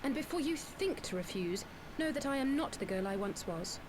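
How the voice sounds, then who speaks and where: A woman's voice: high voice, expressive, moderate pace. An actress playing a proud, determined young woman adventurer in a fantasy game, speaking firmly and formally. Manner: firm, formal. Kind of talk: fantasy game cutscene acting. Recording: quiet game audio under loud noise.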